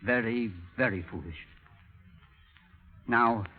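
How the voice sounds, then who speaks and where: A man playing a bitter, coldly calculating blackmailer in an old radio drama, taunting and scornful with a theatrical, deliberate delivery. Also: mid-range voice. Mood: quietly menacing. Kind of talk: 1930s radio drama acting. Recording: dull, lo-fi old radio recording.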